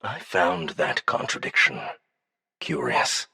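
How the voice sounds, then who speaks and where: Man acting a creaky, husky, insinuating alien dignitary. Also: medium pitch, expressive, bright, forceful tone, no echo, clean studio sound, pitched above the performer's own voice, exaggerated voice. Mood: coolly curious. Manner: languid, deliberate, insinuating. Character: cunning, probing male alien dignitary, coolly menacing.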